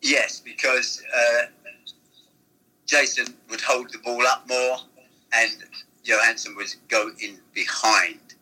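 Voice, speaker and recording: A man's voice: medium pitch, steady tone, takes it slowly, pushed and bright, breathy edge, rough recording. An older man, a former football manager, telling rambling stories from his past in an interview. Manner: rambling anecdotal storytelling. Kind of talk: reminiscing interview.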